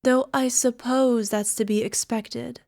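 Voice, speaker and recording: High, sweetly obsessive alchemist girlfriend, acted by a woman. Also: steady tone, takes it slowly, gravelly, dry recording, clean studio sound. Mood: smug, possessive. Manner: soft, coaxing, giggly, slipping into whispers. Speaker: voice actor. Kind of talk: ASMR girlfriend roleplay. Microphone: close microphone, dry.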